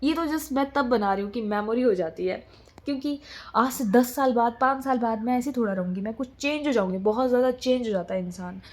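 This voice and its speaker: A woman's voice: fairly high pitch, a little fast, darkish tone. A young woman vlogging in casual, rambling chat, often switching between Hindi and English.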